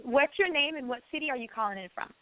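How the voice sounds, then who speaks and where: High-pitched woman hosting a call-in show. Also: lively intonation, fast talker, no echo, narrow, tinny audio. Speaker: radio show host taking listener calls. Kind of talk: live call-in interview hosting.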